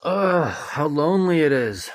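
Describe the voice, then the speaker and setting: A man's voice: fairly high pitch, animated, unhurried, low-quality recording. Plaintive, fretful man acting as weary convict. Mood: uneasy, needling. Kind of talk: old-time radio horror acting.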